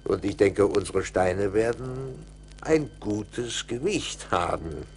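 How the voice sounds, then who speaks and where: An actor playing a callous, cynical rich man in a radio play, grim and deliberate. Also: on the low side, animated. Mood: grimly cynical. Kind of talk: 1980s German radio play acting. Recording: faint hiss of old vinyl record.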